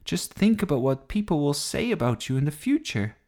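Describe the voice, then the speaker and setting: A man's voice: fairly high pitch, sing-song, fairly quick, darkish tone, voice pushed up. A man voicing an earnest, admiring little girl, an eager and wondering playmate in a children's storybook. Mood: earnest admiration.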